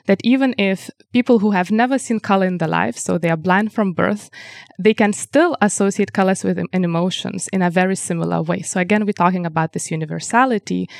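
Measured Lithuanian-born woman researcher. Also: fairly low pitch, vocal fry, no echo, studio quality. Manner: measured, explanatory, frequent ums. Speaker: researcher guest. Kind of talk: interview answers.

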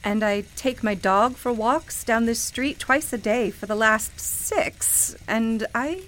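A woman's voice: a little high, slow pace, a bit bright, a little hiss or hum. A woman playing a skeptical, polite and bemused city dweller in a radio play, with a hesitant, incredulous manner. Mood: embarrassed, incredulous. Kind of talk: naturalistic radio play acting.

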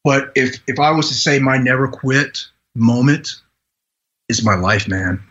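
A man in his forties from Vietnam, a podcast guest telling his life story in an earnest, halting way with many restarts. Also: medium pitch, a bit bright, muffled recording. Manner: earnest, halting delivery with frequent "you know" restarts. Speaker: podcast guest, retired Green Beret. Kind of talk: personal storytelling in a podcast interview.

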